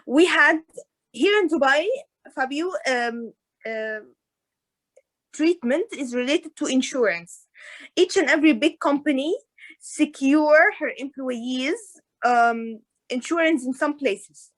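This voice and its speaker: Woman, high voice, hesitant, many restarts, video call. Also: takes it slowly. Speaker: orthodontist guest. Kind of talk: casual interview chat. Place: in Dubai.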